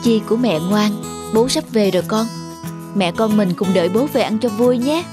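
A woman playing a gentle, reserved young mother in a cartoon, polite. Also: mid-range voice, moderate pace, music in the background, lightly acted. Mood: tender.

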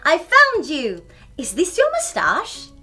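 An eager young girl detective from a storybook, played by a woman. The voice is very high and lively, full of excitable curiosity, with swooping pitch. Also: slow pace, faint music behind, exaggerated voice. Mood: eager, curious. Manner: excitable, wondering. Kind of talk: children's storybook character voice.

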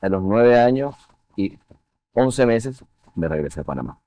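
A man, a guest in a studio TV interview, speaking in a measured, reflective way with frequent fillers. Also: lowish voice, sing-song, dark tone, no echo. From Venezuela. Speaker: interview guest, finance executive. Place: TV studio set. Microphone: lapel mic.

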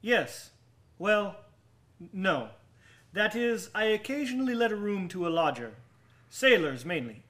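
An actor in a radio drama playing an over-polite, nervous murderer with a genteel, halting way of talking. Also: male voice, high-pitched, big pitch swings, a bit bright, heavily acted. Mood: nervous politeness. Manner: genteel, overly courteous, halting. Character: nervous, over-polite male murderer hiding guilt from police.

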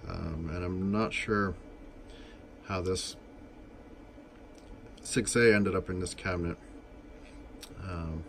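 A man's voice: low-pitched, fairly even intonation, slow pace, vocal fry, pauses often, fairly bright tone, slight background noise. A man giving an informal, unscripted technical demonstration in a matter-of-fact, explanatory way, recorded indoors on a camera microphone. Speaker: demonstrator of vintage telephone equipment. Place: indoors beside an equipment cabinet.

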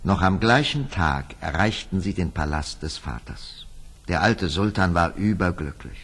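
Slow, husky male fairy-tale narrator. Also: sits low, expressive, fairly bright tone. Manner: dramatic storytelling.

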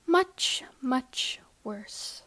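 A woman's voice: high voice, animated, fairly bright tone, in a whisper, heavily acted. A woman voicing a menacing young female bully pony in an amateur cartoon drama, taunting and drawing out her words. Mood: threatening. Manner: taunting, drawn-out. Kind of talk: amateur animated audio drama.

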